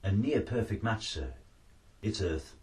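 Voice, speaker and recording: A man voicing a keen, dutiful science officer who reports crisply to his superior in a storybook. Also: fairly low pitch, lively intonation, measured pace, a little mellow, recorded from a distance, pitched above the performer's own voice. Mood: alert.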